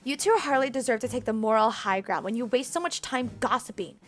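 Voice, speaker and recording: A woman's voice: on the high side, lively intonation, brisk, faint music behind, lightly acted. A woman voicing an indignant, protective maid who lectures in a firm, reproachful, blunt way.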